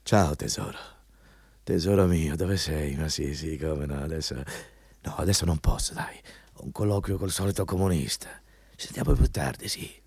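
An actor in an Italian radio play playing a ruthless, patronizing company interviewer who talks in a hectoring, insistent way. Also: male voice, extremely low pitch, normal speed, vocal fry, a little mellow, husky, no echo, full character voice. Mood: hushed, affectionate.

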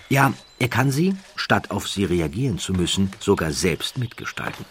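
A man reading a scripted documentary narration in a measured, deliberate way with dramatic emphasis. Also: low voice, slight background noise.

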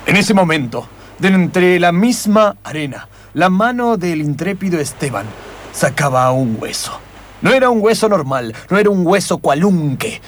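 A man's voice: fairly high pitch, a little hiss or hum. A man narrating an improvised radio play with theatrical, suspenseful storytelling.

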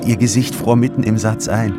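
A man's voice: lowish voice, steady tone, fairly quick, natural voice. An actor playing a reflective corporate anthropologist who tells his own story in a literary radio drama, with music behind him. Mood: absorbed, brooding.

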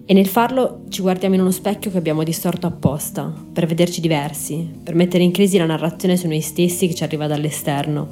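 A woman's voice: on the low side, steady tone, fast, hardly any pauses, mellow, soft background music. A woman in her twenties hosting a podcast, reading a scripted narration. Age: 20s. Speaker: podcast host and writer.

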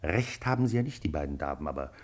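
An actor playing a genial, pragmatic middle-aged police chief in a radio play, official yet easygoing in manner. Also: male voice, rumbling low, sing-song, quick pace, a little mellow, heavily acted. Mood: confiding. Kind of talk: German radio play acting.

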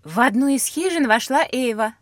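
Curious, highish-voiced young pupil. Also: female voice, expressive, moderate pace, dry recording. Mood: curious, attentive. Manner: inquisitive, descriptive. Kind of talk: scripted educational audio drama.

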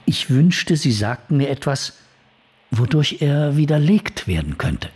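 An actor playing an elderly, stern but decent magistrate in a German radio play, husky and measured. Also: male voice, a little high, very animated, darkish tone, full character voice. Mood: conciliatory, measured. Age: older. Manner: official, measured.

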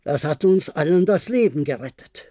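An actor in a German radio play, playing an older, resigned former diplomat who reminisces in a measured, formal way. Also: male voice, fairly high pitch, lively intonation, warm tone, dry recording, clean studio sound. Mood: grave. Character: resigned, reflective former diplomat, aging bunker survivor.

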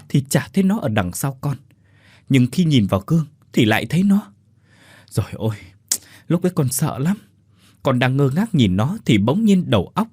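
A man's voice: mid-range voice, darkish tone, slightly rough, dry recording, studio quality. A man voicing a terrified young adult son who recounts a haunting in a shaken, pleading voice.

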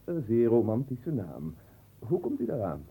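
An actor playing a pompous, absent-minded marquis who muses aloud theatrically in a radio play. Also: male voice, mid-range voice, huge pitch swings, unhurried, vocal fry, breathy edge, narrow, tinny audio, full character voice. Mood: amused, condescending.

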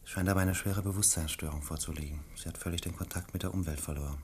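A man acting as a composed chief physician in a German radio mystery, speaking in a deliberate, clinical manner. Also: sits low, fairly even intonation, darkish tone, slightly rough. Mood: grave, clinical. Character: composed male chief physician giving a careful diagnosis.

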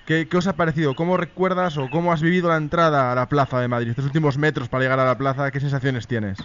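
A man's voice: fairly high pitch, fairly even intonation, fast, fairly bright tone. A man co-hosting a live radio programme, asking unscripted interview questions and searching for his words. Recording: muffled lo-fi radio with faint noise.

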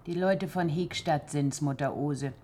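A woman's voice: low-pitched, unhurried, mellow. An actress playing a sober, plain-spoken peasant neighbour in a radio play, matter-of-fact in her delivery. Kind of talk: German radio play acting.

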